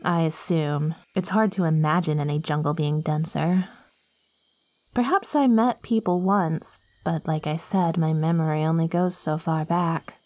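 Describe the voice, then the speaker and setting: A woman's voice: low-pitched, measured pace, darkish tone, dry recording. A woman playing an ancient, lonely plant girl in an ASMR roleplay, clingy and curious, with quirky, wordy, theatrical phrasing. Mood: wistful, matter-of-fact. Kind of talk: ASMR roleplay, acted monologue to the listener.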